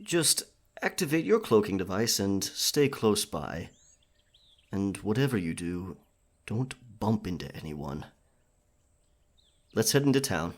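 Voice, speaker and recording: A man's voice: lowish voice, very animated, takes it slowly, pauses often, heavily acted. A man voicing an earnest, courteous royal knight in a scripted roleplay audio, speaking formally and chivalrously. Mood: earnest, wary. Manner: formal, chivalrous, wordy speech.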